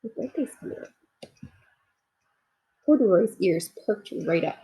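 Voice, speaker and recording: A woman narrates a picture book for young children. Her voice is markedly husky with some fry, and her pitch moves in lively, expressive sweeps as she speaks to the listeners. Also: unhurried, rough recording. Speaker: storybook reader. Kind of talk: children's read-aloud narration with asides.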